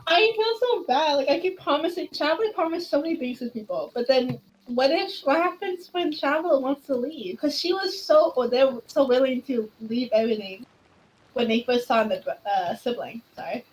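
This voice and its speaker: A woman streamer chatting casually and haltingly as she reacts to a game. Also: sits high, animated, slight background noise, low-quality recording. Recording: game music behind the voice.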